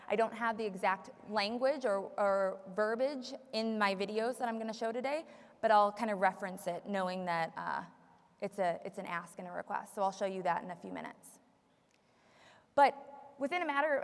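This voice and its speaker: A woman leading a live software tutorial as a trainer, explaining step by step to a room. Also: mid-range voice. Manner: explanatory, repeats audience questions for the room. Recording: long echoey room reverb.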